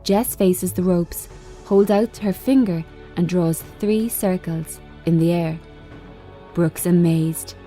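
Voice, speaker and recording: A woman reading screenplay directions as scripted narration, in measured, short phrases. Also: lowish voice, dark tone, soft background music. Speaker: screenplay reader.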